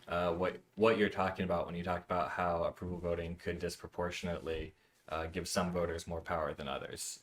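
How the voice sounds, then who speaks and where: Man, very deep voice, host, earnest, explaining his questions. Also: a bit bright. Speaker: channel host, interviewer. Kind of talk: casual interview questions.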